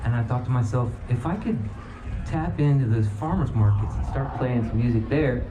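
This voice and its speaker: A man's voice: mid-range voice, normal speed, reverberant, background noise. A middle-aged American man from Virginia, a banjo musician giving casual, rambling, folksy and upbeat banter between songs at a live festival. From Virginia, United States.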